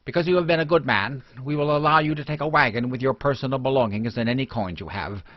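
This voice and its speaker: A man playing a hostile, threatening village mob man in a fantasy audiobook, forceful and stern. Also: mid-range voice, quick pace, no room sound, pitched above the performer's own voice, lightly acted. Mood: stern, menacing. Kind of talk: dramatic fantasy audiobook dialogue. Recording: dull lo-fi recording.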